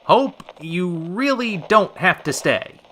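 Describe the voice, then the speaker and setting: An actor playing a sardonic, easily flustered 1930s radio call-in host with wry, old-fashioned slangy patter. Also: male voice, high voice, lively intonation, takes it slowly, bright, forceful tone, a little hiss or hum, heavily acted. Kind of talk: old-time radio drama acting.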